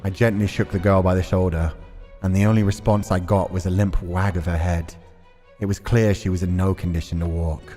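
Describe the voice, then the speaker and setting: A man's voice: sits low, fairly quick, big-room sound. Man narrating dramatically over music. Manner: expressive, acts out characters. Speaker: streamer reading a visual novel aloud. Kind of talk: dramatic read-aloud narration.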